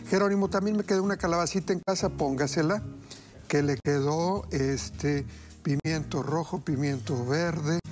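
A man's voice: a little high, a little mellow. A middle-aged man hosting a TV cooking show, chatty and folksy, talking to viewers while he cooks. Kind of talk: cooking demonstration. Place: TV studio kitchen set.

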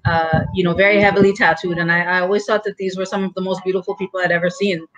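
A woman's voice: on the low side, little pitch movement, quick pace, a bit bright, low-quality recording. A woman from Guyana answering interview questions over a video call, hesitant with many fillers and a dry humor. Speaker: guest. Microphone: video call webcam.

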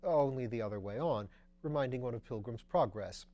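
A man's voice: lively intonation, brisk, warm tone, dull audio, lightly acted. A man voicing a rambling, gossipy old duchess. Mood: chattering. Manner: breathless rambling gossip, lifting high on phrases.

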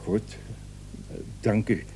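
An actor playing an anxious, long-suffering patient who stammers and repeats himself in a radio drama. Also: male voice, very slow, dark tone. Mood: worried, plaintive. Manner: hesitant, stammering, repeating words. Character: anxious, long-suffering male allergy patient, hesitant and plaintive. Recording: old radio recording, faint hum.

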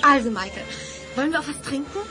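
A woman playing a cheerful, excitable young dancer in a 1980s radio play, breezy and giggly. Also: sits high, fast, music in the background. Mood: cheerful, excited.

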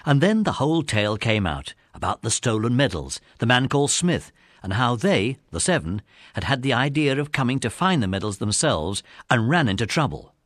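A man's voice: medium pitch, very animated, moderate pace, a bit bright, no room sound, clean studio sound. A man with an English accent narrating a children's adventure audiobook as a scripted story.

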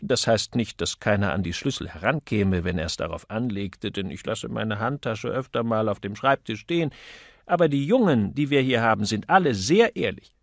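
A man's voice: lowish voice, animated, normal speed, no echo, clean studio sound. A man voicing a chatty, flirtatious middle-aged widow who works as an office clerk in an audiobook. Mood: helpful, chatty.

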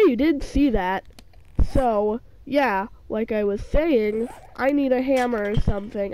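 A woman plays a survival game and talks it through at an unhurried pace, her voice a little off because she's sick. She rambles, restarts her sentences and fills the gaps with "um". Her slightly high voice sounds soft and dark, with a faint hiss behind it. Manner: rambling, hesitant, many fillers and restarts. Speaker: gaming channel host. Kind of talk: casual let's-play commentary.

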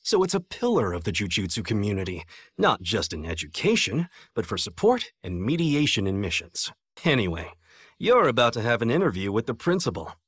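A man's voice: lowish voice, huge pitch swings, fairly quick, fairly bright tone, breathy edge, no echo, clean studio sound, exaggerated voice. An American man playing a cocky, playful young sorcerer teacher in an English anime dub, with a breezy manner. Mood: calm, explaining.

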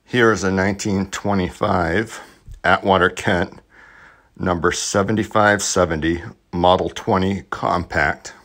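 Low-pitched, slow-talking man. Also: steady tone, a bit bright. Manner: measured, matter-of-fact, short phrases with pauses. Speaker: collector demonstrating vintage radios. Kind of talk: instructional narration.

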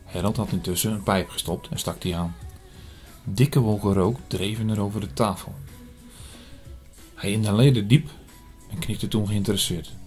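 A man playing an earnest priest in an audio drama, telling his story in a measured, formal way. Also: fairly low pitch, steady tone, a little mellow, lightly acted. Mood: earnest, explaining. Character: earnest male priest investigating an apocalyptic prophecy. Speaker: narrator and lead actor. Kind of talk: scripted audio drama, first-person narration. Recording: music under the voice.

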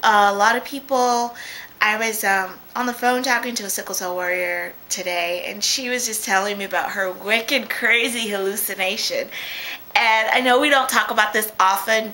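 A young woman talking casually to her webcam from a small room at home, chatty and quick to laugh, often restarting her sentences. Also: medium pitch, sharp tone, slight background noise, poor audio. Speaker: vlogger. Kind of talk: casual video diary. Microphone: webcam at close range.

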